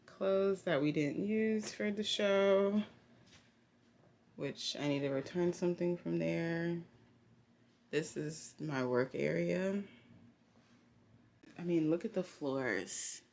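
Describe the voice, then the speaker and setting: Low, creaky-voiced woman vlogging casually. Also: takes it slowly, pauses often, darkish tone, slight background noise. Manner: conversational, candid, informal. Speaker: vlogger, costume designer. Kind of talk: casual personal vlog chat. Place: indoors at home.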